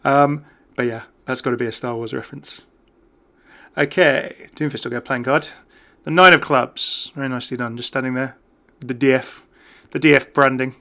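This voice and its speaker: A man's voice: medium pitch, fairly even intonation, normal speed, pushed and bright. A man giving casual, off-the-cuff game commentary as a YouTuber, chatty and jokey, rambling from one remark to the next. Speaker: gaming YouTuber.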